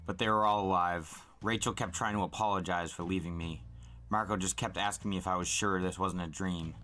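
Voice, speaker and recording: Low, bright-voiced man reading a story. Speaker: host reading a novel aloud. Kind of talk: first-person audiobook storytelling.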